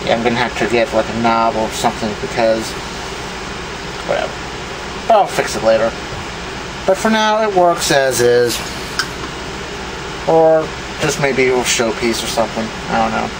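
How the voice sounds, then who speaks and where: A man with a medium-pitched voice talks casually as he demonstrates something for a homemade video. His delivery is offhand and rambling, unscripted throughout. A clear humming noise sits behind his voice on the camera recording. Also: animated, normal speed, pauses often. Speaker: video host showing vintage electronics. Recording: clear background hum and rumble noise.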